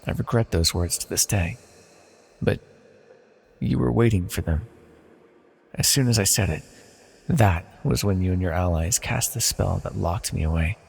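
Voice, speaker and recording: A man playing an ancient banished villain deity in a fantasy audio roleplay, proud yet wistful and tender, speaking in a formal, measured, confessional way. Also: low-pitched, gravelly, breathy edge, heavily acted. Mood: regretful, tender. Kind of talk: scripted fantasy audio roleplay, intimate monologue. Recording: long reverberant hall echo.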